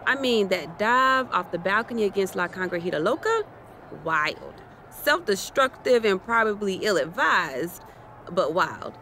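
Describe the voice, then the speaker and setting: A woman's voice: mid-range voice, animated, unhurried, sharp tone, slight background noise. An actress playing a self-assured female wrestling challenger with a teasing manner. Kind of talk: video game cutscene acting.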